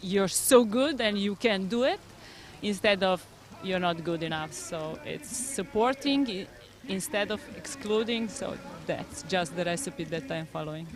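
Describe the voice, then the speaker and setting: A woman with a Serbian accent speaking in earnest, slightly halting English as a coach being interviewed at a race. Her pitch sits in a normal middle range. She is recorded outdoors at the course. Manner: earnest, non-native phrasing with fillers. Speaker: head coach of a development team. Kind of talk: live interview.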